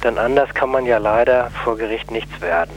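A man giving a radio interview, explaining his case in a deliberate, hesitant manner. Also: mid-range voice, low-quality recording. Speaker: interview guest, anti-nuclear plaintiff.

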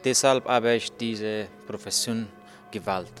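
A man, telling his story as a journalist in halting speech full of fillers and restarts. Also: on the low side, fairly even intonation, faint music behind. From Afghanistan. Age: 30s. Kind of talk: hesitant interview answers in learner German.

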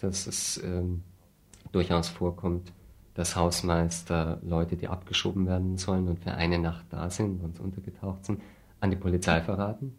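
Very deep-voiced man, flat delivery. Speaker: interview guest, refugee aid activist. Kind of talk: radio interview, earnest explaining.

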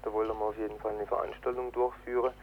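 Phone-like, monotone male activist interviewee. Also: lowish voice. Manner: halting, earnest explaining. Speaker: political activist being interviewed. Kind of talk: spontaneous spoken interview. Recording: noisy, tinny phone-like radio line.

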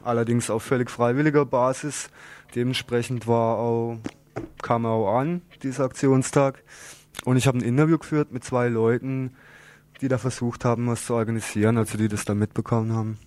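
A man hosting an unscripted radio interview, talking informally and a little haltingly as he presents and asks questions. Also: medium pitch, fairly even intonation, a little mellow.

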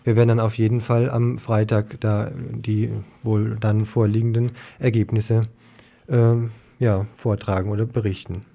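A man's voice: fairly low pitch, level tone, a little slow, vocal fry, dark tone. A man presenting news on an informal community radio show.

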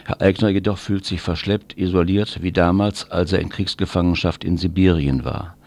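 Low-pitched man reading radio event listings. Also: fairly even intonation, normal speed, darkish tone. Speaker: radio presenter.

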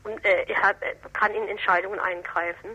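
A woman explaining local politics in a discussion, critical and emphatic, with halting phrasing. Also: mid-range voice, steady tone, pushed and bright, slightly rough. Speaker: political commentator on local council affairs. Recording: dull, lo-fi radio interview recording.

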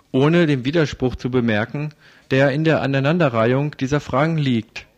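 A man reading a scripted, critical commentary as a radio presenter. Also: medium pitch.

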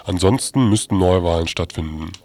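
A man's voice: low voice, fairly quick, a little mellow, no room sound. A man reading scripted news as a radio newsreader.

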